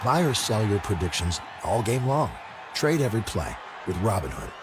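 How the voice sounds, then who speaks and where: A man's voice: fairly low pitch, sing-song, moderate pace, noisy background. A man reading a scripted radio commercial as a voice-over announcer.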